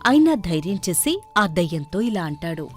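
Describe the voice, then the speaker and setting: A woman's voice: on the low side, big pitch swings, moderate pace, soft background music. A woman storyteller reading scripted narration for a children's moral story.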